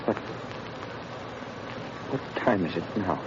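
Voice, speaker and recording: A man playing a weary, despairing condemned prisoner in a 1930s radio drama, halting and resigned, on a muffled old recording. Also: low voice, expressive, background noise.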